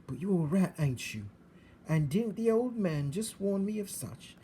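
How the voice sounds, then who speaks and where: A naive, uneducated young servant girl from a storybook as a man plays her. She exclaims loudly and excitably in rustic phrases, pitched high and leaping about. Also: measured pace, a little hiss or hum. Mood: startled. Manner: loud, rustic, ungrammatical exclaiming. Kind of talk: storybook character voice.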